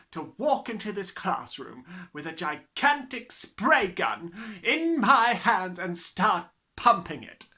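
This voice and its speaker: A reader putting on a giant, bullying headmistress who bellows her contempt in a raised, bright, hard-edged voice. She swings wildly between sneering and roaring, with a pitch that leaps all over. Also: heavily acted. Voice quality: booming, contemptuous. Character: huge furious female headmistress, bullying.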